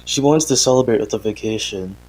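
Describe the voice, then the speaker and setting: A man playing an earnest young father recording a confessional audio diary in a horror drama, speaking haltingly and hesitantly. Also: fairly low pitch, darkish tone, natural voice, poor audio. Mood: thoughtful, content. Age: young adult. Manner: halting, hesitant, sighing, self-interrupting.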